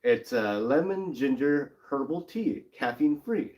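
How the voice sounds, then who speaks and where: A man's voice: mid-range voice, expressive, measured pace, darkish tone. A young man co-hosting a casual comic-book live stream in a laid-back, joking way. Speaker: co-host.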